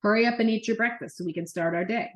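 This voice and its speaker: A woman's voice: mid-range voice, fast talker, natural voice. Woman reading as an easygoing, encouraging storybook dad. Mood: brisk. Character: easygoing, kindly young father of a little boy.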